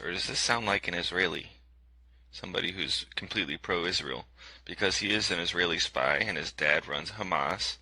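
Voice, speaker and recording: A man's voice: sits low, pushed and bright, no room sound, low-quality recording. A man giving a scripted commentary over video clips in a sarcastic, skeptical tone, with frequent fillers.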